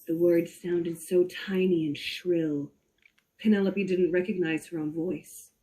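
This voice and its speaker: A woman reads a horror story aloud theatrically, drawing out suspense with deliberate pauses. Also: fairly low pitch, fairly even intonation, mellow, low-quality recording. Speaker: performer reading a story aloud. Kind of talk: slow dramatic reading of a horror story.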